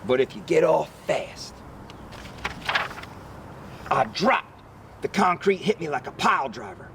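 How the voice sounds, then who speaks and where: A man reading a children's picture book aloud as an expressive narrator. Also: on the high side, unhurried, gravelly, background noise. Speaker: story reader.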